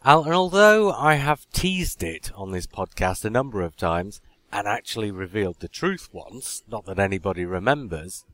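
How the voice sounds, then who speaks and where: A man from Yorkshire, hosting a podcast with casual banter, drawn-out anecdotes and mock exasperation. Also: lowish voice, fairly bright tone, dry recording. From Yorkshire, England. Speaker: podcast host.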